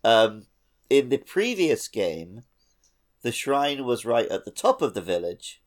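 A man's voice: mid-range voice, big pitch swings, takes it slowly. A man co-hosting a gaming podcast, chatting casually and going off on tangents. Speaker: podcast co-host.

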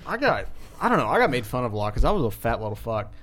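A man's voice: medium pitch, big pitch swings, racing, fairly bright tone. A man co-hosting a casual podcast chat, talking in a crude, profane, self-deprecating way. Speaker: podcast co-host.

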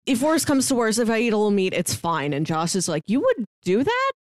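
A woman's voice: fairly high pitch, sing-song, brisk, no room sound, studio quality. A woman co-hosting a podcast, casually recapping films and acting out lines of dialogue as she retells them. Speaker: podcast co-host. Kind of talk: casual film discussion, recapping scenes.

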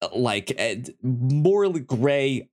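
Medium-pitched voice, casual film review chat, stammering restarts, excitable podcast co-host. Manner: halting, repetitive stammering delivery with many false starts.

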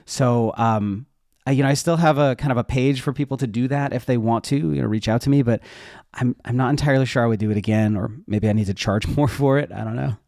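A man's voice: mid-range voice, fast, creaky, a little mellow, no echo, studio quality. A man talking casually and informally as an interview guest, explaining how he works in a conversational way. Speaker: interview guest, web developer and educator.

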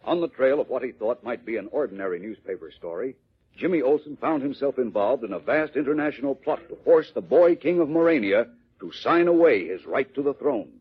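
A man narrates an old adventure serial with theatrical urgency. His voice is very raspy and swings widely in pitch. The vintage radio recording is muffled. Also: expressive, fairly quick. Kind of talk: dramatic scripted narration, 1940s radio.

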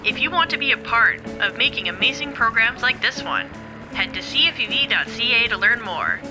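A woman's voice: fairly high pitch, lively intonation, fairly quick, low-quality recording. A woman reading scripted podcast credits as a producer and announcer. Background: music.

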